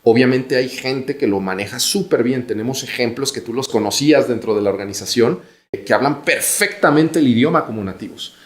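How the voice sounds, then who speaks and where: A man in his forties with a Mexican accent, giving career advice as an interview guest in a measured, explanatory way. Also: medium pitch, reverberant. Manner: measured, explanatory, repeats phrases for emphasis.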